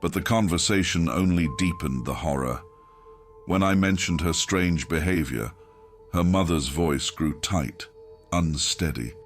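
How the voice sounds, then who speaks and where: A man's voice: sits low, a little slow, faint music behind. A man narrating a grimdark horror audiobook in a grave, brooding, dramatic first-person manner.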